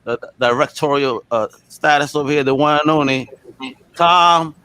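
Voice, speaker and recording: A man's voice: fairly high pitch, moderate pace, sharp tone, smooth, poor audio. A man hosting a podcast in an upbeat, slangy, casual style, talking like an enthusiastic hype man. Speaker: podcast host.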